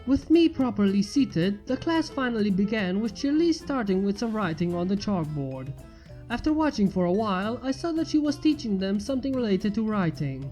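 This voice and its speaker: A woman's voice: mid-range voice, big pitch swings, moderate pace, dark tone, rough recording. A woman reading a first-person fan fiction story aloud as its narrator. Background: faint music.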